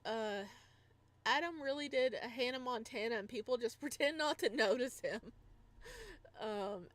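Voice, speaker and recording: A woman reading a visual novel aloud in a dramatic, expressive way, with casual commentary. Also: a little high, moderate pace. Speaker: game streamer.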